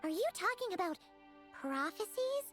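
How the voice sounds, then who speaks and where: Game role: young winged fae girl, high-pitched, earnest, eager and pleading, over music. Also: very animated, moderate pace, a bit bright, heavily acted. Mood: curious. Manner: earnest, questioning. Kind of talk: video game voice acting. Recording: music under the voice.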